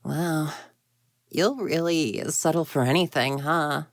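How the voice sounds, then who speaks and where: A woman's voice: low voice, takes it slowly, dry recording, clean studio sound. A woman voicing a grumpy, proud young female ghost in an intimate roleplay audio drama, huffy and easily flustered. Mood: indignant, incredulous. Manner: huffy, indignant, flustered stammers, conversational.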